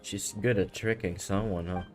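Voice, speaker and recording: A woman's voice: extremely low pitch, steady tone, normal speed. A woman giving casual live commentary as a game streamer. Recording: game music behind the voice.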